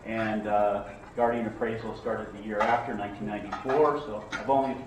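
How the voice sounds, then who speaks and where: A middle-aged man giving a loud, conversational, self-deprecating talk as an appraiser at a conference breakfast. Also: on the low side, steady tone, fairly quick, background noise. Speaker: real estate appraiser speaker. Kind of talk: conference presentation. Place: hotel conference room, indoors. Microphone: far camera across the room. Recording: echoey room from far camera.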